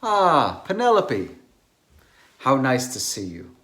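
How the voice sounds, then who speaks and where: A man's voice: mid-range voice, wildly swooping pitch, slow pace, fairly bright tone, full character voice. A man playing a polite, slightly awkward scholarly bachelor in a dramatized novel reading. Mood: genial, polite. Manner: courteous, slightly awkward, hesitant. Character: polite, hesitant single man, a scholarly dinner host.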